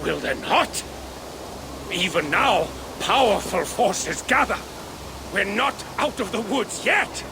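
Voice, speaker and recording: An actor playing a sly, half-mad monk in a video game, creaky and portentous, speaking in riddles. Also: male voice, high-pitched, lively intonation, slow, noisy background, heavily acted. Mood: foreboding. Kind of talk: dramatic video game acting.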